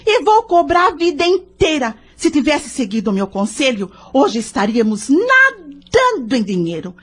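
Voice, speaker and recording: A woman's voice: high-pitched, wildly swooping pitch, normal speed. A woman acting a scolding, indignant wife and mother with a Brazilian accent in an audio soap opera. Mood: bitter, reproachful. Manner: scolding, dramatic, exclamatory. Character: nagging, indignant wife and mother deep in debt.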